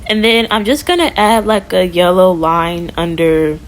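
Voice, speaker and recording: A woman's voice: fairly low pitch, a little slow. A woman giving a casual step-by-step tutorial as a voice-over. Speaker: tutorial host. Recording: audible background noise.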